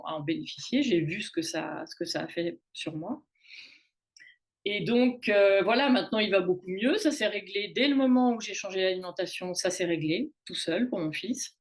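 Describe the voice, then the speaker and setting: Woman in her late forties, halting chat. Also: on the low side. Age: late 40s. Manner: halting, many restarts and fillers. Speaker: guest on a women's wellness talk show. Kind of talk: casual conversational talk. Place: in Canada.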